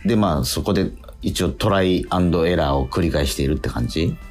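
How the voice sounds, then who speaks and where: A man's voice: deep voice, fast talker, a little mellow, soft background music. A man hosting a radio show, chatting casually about acting in an earnest, self-questioning way with frequent affirming interjections. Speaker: radio show host and stage actor. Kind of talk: casual radio talk between actors.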